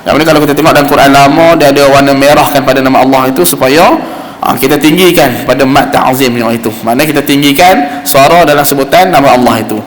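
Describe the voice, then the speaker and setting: Echoey Malaysian man teaching Quran recitation. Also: mid-range voice. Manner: explanatory, repeats sounds slowly to demonstrate. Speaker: religious teacher giving Quran recitation class. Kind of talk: informal instruction with demonstrated Arabic recitation. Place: mosque hall. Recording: roomy echoing hall, faint background noise.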